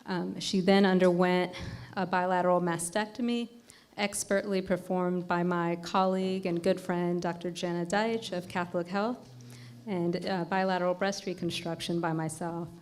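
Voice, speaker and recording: A woman's voice: fairly low pitch, steady tone, normal speed, a little mellow. A woman surgeon giving an upbeat, slightly scripted introduction of the next speaker at an event.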